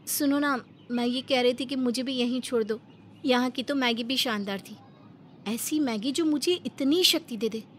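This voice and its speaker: A woman's voice: high voice, normal speed, slight background noise, lightly acted. A woman voicing a naive, eager young bride with an earnest, coaxing manner in a cartoon story. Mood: admiring, coaxing. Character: naive, eager young city bride who loves noodles. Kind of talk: Hindi cartoon story acting.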